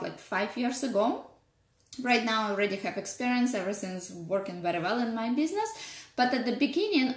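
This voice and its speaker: A woman's voice: fairly high pitch, fairly bright tone. A woman in her thirties with a strong Russian accent, a business coach talking casually on a live stream, greeting viewers and using many fillers. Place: home office, indoors. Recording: echoey room with faint noise.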